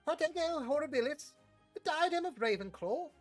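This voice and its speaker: A man voicing a tiny, fussy old male charms professor with a squeaky voice. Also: lively intonation, moderate pace, faint music behind.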